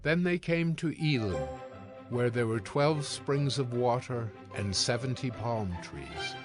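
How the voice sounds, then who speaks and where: A man narrating scripture as scripted audio, with formal, measured storytelling. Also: medium pitch, animated, music in the background.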